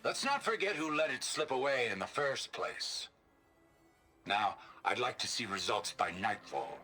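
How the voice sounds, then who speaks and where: Bright and sharp, male commander speaks with icy, measured politeness and open condescension. It is video game villain dialogue at a middling pitch, with music clearly present. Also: lively intonation. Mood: coolly threatening. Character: cold, condescending male commander demanding results.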